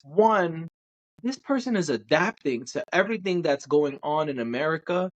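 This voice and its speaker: A young adult man from Brooklyn, New York, co-hosting a podcast and talking at length in an animated, emphatic way. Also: a little high, moderate pace, lo-fi sound. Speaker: podcast co-host. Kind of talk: casual chat.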